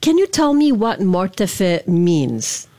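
A woman's voice: medium pitch, big pitch swings, takes it slowly, mellow, dry recording. A woman hosting an interview, chatty and affirming, asking long questions.

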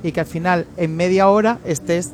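A middle-aged man from the Canary Islands with a softened Canarian Spanish accent, chatting effusively as a makeup artist guest in a radio interview. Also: high voice, steady tone, normal speed, faint music behind. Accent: Canarian Spanish, somewhat softened.

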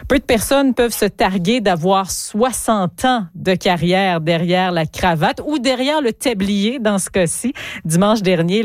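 A woman's voice: medium pitch, no echo. A woman with a Quebec French accent hosting live radio, presenting and interviewing in a studio. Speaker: radio host.

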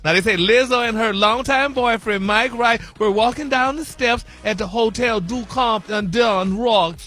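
A man puts on a campy gossip queen with a drawl, his voice pitched high and bright as he dishes celebrity dirt. He giggles between lines, calls everyone honey and baby, and stretches his vowels for drama. Faint music plays behind him. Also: moderate pace. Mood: gossipy. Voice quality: flamboyant, sassy, calls listeners honey and baby. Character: sassy campy gossip persona, male host. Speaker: celebrity gossip segment regular. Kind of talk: campy gossip chat on morning radio.

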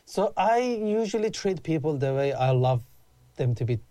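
A man's voice: fairly high pitch, big pitch swings, moderate pace, dark tone, dry recording. A man in his thirties, originally from Afghanistan and raised in Iran, speaking earnestly as an interview guest, often restarting his sentences. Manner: earnest, with frequent restarts and "like" fillers. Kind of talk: casual interview chat.

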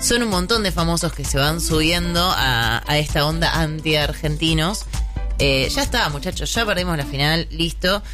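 Bright, argumentative radio co-host. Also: medium pitch, lively intonation, normal speed, faint music behind. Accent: Rioplatense Spanish. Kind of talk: radio talk debate.

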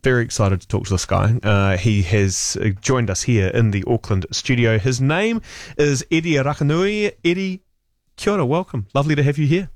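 A man's voice: mid-range voice, normal speed, dry recording. A man hosts a studio chat in an ordinary mid-range voice. He talks with chatty enthusiasm and winds through his questions. The sound is dry and close. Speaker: host. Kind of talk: casual radio talk. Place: radio studio.

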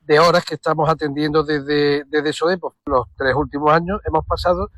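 Halting higher-voiced male councillor. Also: steady tone, a bit bright, dry recording. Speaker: town councillor. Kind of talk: prepared public statement.

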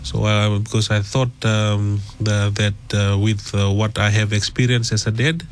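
A man's voice: on the low side, little pitch movement, unhurried, a little hiss or hum. A middle-aged man from Fiji, a guest talking in a radio interview, hesitant and full of fillers and restarts. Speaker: guest author.

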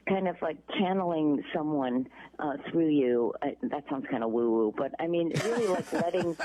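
A middle-aged woman with a Chicago accent, chatting as an interview guest, halting and restarting often. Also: deep voice, animated, warm tone. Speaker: voice actress guest. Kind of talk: casual interview by phone. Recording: tinny phone line.

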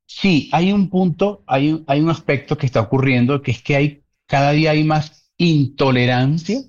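A man's voice: on the high side, normal speed. Earnest man, slightly muffled audio. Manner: earnest, reflective, repeats points for emphasis. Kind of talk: casual conversation.

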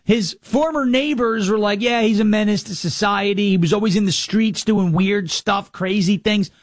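Indignant high-voiced talk radio man. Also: moderate pace, dry recording, clean studio sound. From New York, Long Island. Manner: sarcastic, indignant, mocking impressions. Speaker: radio talk show host. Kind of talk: political talk radio monologue.

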